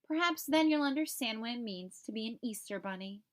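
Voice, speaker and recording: A woman's voice: fairly high pitch, animated, a little fast, close to an everyday voice. Woman playing a stern, commanding Great Hare. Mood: admonishing. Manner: demanding, formal.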